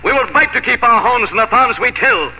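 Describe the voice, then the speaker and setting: An actor playing a passionate, tender young village bridegroom in a 1950s radio drama. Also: male voice, very high-pitched, animated, fairly quick, creaky, bright, forceful tone, heavily acted. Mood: defiant. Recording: dull, reverberant old radio recording.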